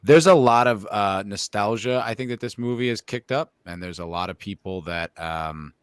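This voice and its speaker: A man with a fairly low voice talks casually on a panel. The recording is dry, with little room sound. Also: normal speed, clear, resonant tone, no room sound. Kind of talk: casual chat.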